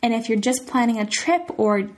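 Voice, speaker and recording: A woman's voice: on the high side, expressive, moderate pace. A woman hosting a language lesson podcast in an informal, chatty way, sounding out example phrases carefully. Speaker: language podcast host teaching Italian phrases. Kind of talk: casual phrase lesson, conversational.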